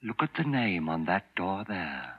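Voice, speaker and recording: An actor playing a composed, shrewd wealthy man in a 1940s radio mystery, speaking in a measured, knowing, dramatic way. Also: deep voice, very animated, husky, heavily acted. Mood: grave, ominous. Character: composed, shrewd wealthy man exposing his would-be murderer. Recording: dull vintage radio recording.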